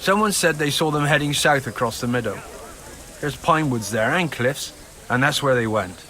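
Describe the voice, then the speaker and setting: A man's voice: medium pitch, expressive, moderate pace, sharp tone. A man playing a cagey, self-important medieval innkeeper in a video game, huffy, gossipy and obsequious to rank. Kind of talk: video game cutscene acting.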